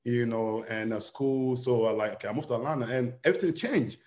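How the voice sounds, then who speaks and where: A man's voice: medium pitch, a little fast, a little mellow. A young man talking casually as an interview guest, rambling and full of fillers. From Nigeria. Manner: rambling, many "you know" fillers.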